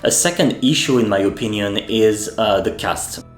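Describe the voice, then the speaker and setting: A man, a native French speaker, hosting a video essay and speaking in a measured way, often pausing with fillers and restarting his sentences. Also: on the low side. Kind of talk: spoken commentary essay. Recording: echoey room with faint music.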